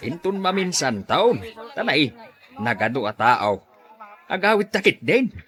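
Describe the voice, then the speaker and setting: A man's voice: a little high, big pitch swings, measured pace, a bit bright. An actor playing a blunt, fatherly older man in an Ilocano radio drama. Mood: disapproving.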